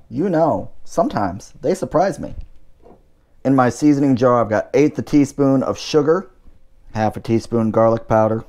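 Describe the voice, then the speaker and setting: A man's voice: fairly low pitch, darkish tone. A man hosting a home cooking show, talking through each step in a casual way with dry, wry asides.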